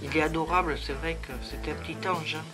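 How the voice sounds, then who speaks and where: A woman's voice: low voice, fairly even intonation, fairly quick, music in the background. A woman with a cold hosting a casual crafting tutorial at home, chatty and full of hesitations. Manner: chatty, many hesitations and fillers. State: has a head cold.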